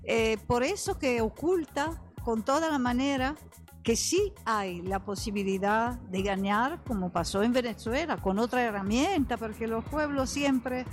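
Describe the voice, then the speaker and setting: Older woman, Italian accent, slow halting delivery, higher-pitched voice, political radio interview guest, music behind. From Italy. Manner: halting non-native phrasing, searching for words. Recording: music under the voice.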